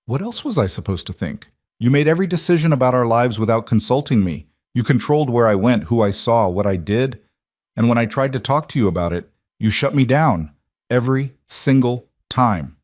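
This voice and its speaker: A man's voice: mid-range voice, animated, brisk, darkish tone, no echo, clean studio sound, pitched above the performer's own voice, lightly acted. A man voicing a defiant, hurt woman in an audiobook drama, guarded, stubborn and accusing. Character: defiant, hurt pregnant wife of a mafia boss.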